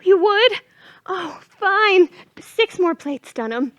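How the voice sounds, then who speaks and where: An actress playing a scatterbrained young debutante daughter in a stage comedy, flustered and pleading in manner. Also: female voice, very high-pitched, lively intonation, slow, fairly bright tone, no room sound, heavily acted. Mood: breezy, polite. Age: young adult.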